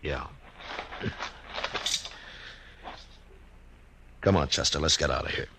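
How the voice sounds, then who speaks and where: A deep-voiced, grim frontier marshal. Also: male voice, very noisy. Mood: steady. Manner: calm, measured, commanding. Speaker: narrator and lead. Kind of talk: 1950s radio drama narration. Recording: old radio with loud music bed.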